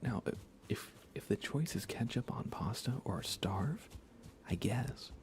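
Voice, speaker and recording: An American man speaking in soft, breathy whispers, chatting casually and unhurriedly to viewers of an ASMR livestream. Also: fairly low pitch, lively intonation. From United States. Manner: hushed, unhurried, conversational.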